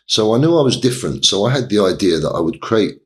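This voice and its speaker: A middle-aged man from England, a guest in an interview, telling his story in a halting way with many pauses and restarts. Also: lowish voice, expressive. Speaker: interview guest, property developer.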